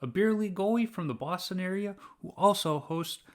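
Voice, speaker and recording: A man's voice: high voice, expressive, fairly quick. A middle-aged man from Chicago hosting a casual podcast, chatty and rambling with restarts. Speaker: podcast host.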